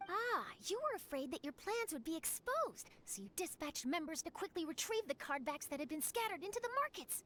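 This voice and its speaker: An actress playing a polite, inquisitive young woman in a video game, analytical and expressive. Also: extremely high pitch, a little fast, hardly any pauses, a little hiss or hum, heavily acted. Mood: thoughtful, curious.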